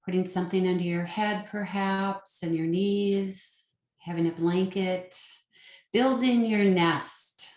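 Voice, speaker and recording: A woman leading a guided meditation as a teacher, soothing and unhurried, pausing between short phrases. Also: mid-range voice, steady tone, a little mellow. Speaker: yoga nidra meditation teacher. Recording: roomy, echoing sound.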